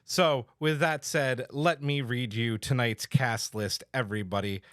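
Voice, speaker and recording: A man's voice: mid-range voice, steady tone, measured pace, fairly bright tone, dry recording, studio quality. A man hosting a podcast in an enthusiastic, casual, chatty way. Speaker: podcast host.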